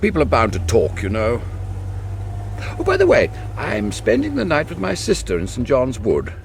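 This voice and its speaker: A gentlemanly, avuncular English colonel in a radio play. His talk is clipped and discreet, with very lively pitch and faint traffic noise behind. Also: moderate pace, vocal fry. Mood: kindly, advising. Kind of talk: British radio drama acting.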